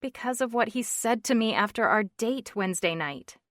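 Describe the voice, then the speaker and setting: Woman doing a thirty-something woman, wry, exasperated, fairly high voice, dry sound. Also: expressive, normal speed, fairly bright tone, no echo. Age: 30s.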